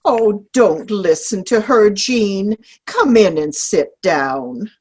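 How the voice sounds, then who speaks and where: A woman plays a pious, fussy grandmother hosting in a stage comedy. Her husky voice is slow and swings widely in pitch, prim and polite. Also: animated, darkish tone. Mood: soothing, fussing. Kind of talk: play reading. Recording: video call play reading.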